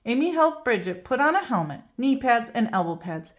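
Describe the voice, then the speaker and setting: A woman reading a children's picture book aloud, expressive and storytelling. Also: a little high, moderate pace. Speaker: read-aloud host.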